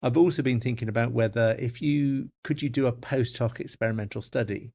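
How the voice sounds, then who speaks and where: Podcast co-host, middle-aged man, measured and hesitant, repeats words while thinking. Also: mid-range voice, creaky, darkish tone. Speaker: podcast co-host, forensic linguist. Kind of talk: academic podcast discussion.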